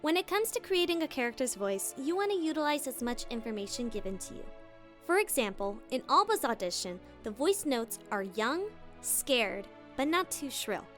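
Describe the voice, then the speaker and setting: Casting: female tutorial host, high-pitched, playful and theatrical, lively swings in intonation. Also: moderate pace, faint music behind. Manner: animated, theatrical emphasis. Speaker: voice actor and video host. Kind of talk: scripted, playful tutorial narration.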